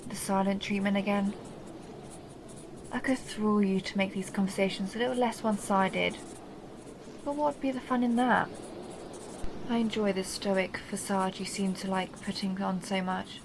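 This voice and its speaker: A woman's voice: medium pitch, level tone, moderate pace, pauses often, noisy background, natural voice. A woman playing a seductive, possessive vampire countess who teases and condescends in an intimate audio roleplay monologue. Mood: musing, taunting. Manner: teasing, mocking, intimate purring address.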